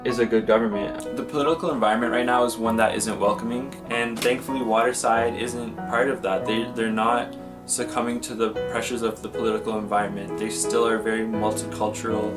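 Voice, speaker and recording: A man from Egypt speaks earnestly and in measured terms. His voice is lowish and flat, with guitar music clear behind it. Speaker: resident.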